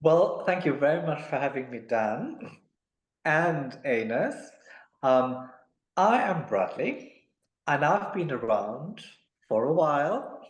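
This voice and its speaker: A man from Africa, answering interview questions in a measured, polite way with many pauses and ums. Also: a little high, very animated. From South Africa. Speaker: guest, amateur tennis player. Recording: echoey room.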